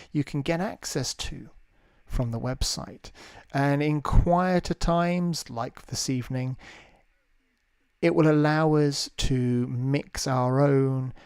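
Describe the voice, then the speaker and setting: A man hosting a casual live demonstration, explaining things in a measured way. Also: medium pitch, a little mellow, dry recording, studio quality. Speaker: livestream host. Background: music.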